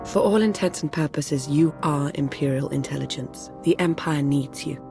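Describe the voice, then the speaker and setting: Composed, resolute agent. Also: female voice, low-pitched, over music. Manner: formal, measured, serious. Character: composed female Imperial fugitive agent, resolute. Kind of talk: video game cutscene acting.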